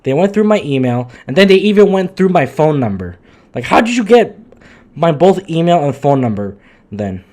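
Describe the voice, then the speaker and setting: A man live streaming, chatting casually off the cuff in a halting, muttering, sweary way. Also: fairly high pitch, big pitch swings, darkish tone.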